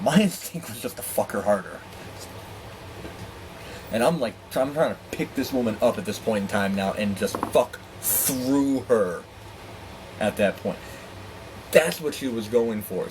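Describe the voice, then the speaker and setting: A man telling a personal story in a candid, profane, animated way, acting out dialogue with exasperated asides. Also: mid-range voice, a little hiss or hum, poor audio. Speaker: storyteller and host.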